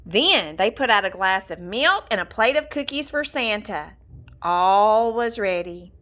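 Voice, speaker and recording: A woman reading a children's storybook aloud in an expressive, deliberate storytelling manner. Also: medium pitch. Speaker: storybook reader. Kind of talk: scripted children's story narration, read aloud.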